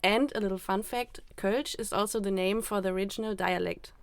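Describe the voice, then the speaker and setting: A young woman with a medium-pitched voice speaks conversationally as a podcast co-host. The sound is close and dry, with hardly any room. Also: fairly even intonation, normal speed, clear, resonant tone, no room sound. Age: young adult. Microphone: close podcast microphone.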